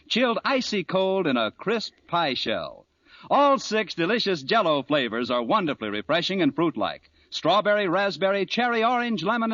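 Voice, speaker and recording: A man's voice: fairly high pitch, a bit bright, no room sound, muffled recording. A man with an American accent announcing in cheery, polished 1940s radio salesman style on an old recording.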